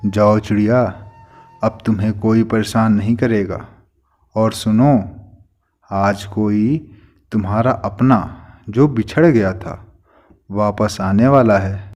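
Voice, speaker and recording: A man's voice: fairly low pitch, fairly even intonation, slow pace, long pauses, a little mellow, soft background music. A man playing a solemn, kindly forest sage in a cartoon story, grave and reassuring. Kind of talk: Hindi cartoon story dubbing.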